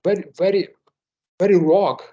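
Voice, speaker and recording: A man's voice: high-pitched, fairly even intonation, normal speed, mellow. An older man with a Ukrainian accent, giving a seminar talk with many fillers and repeated words. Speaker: guest lecturer. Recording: muffled lo-fi recording.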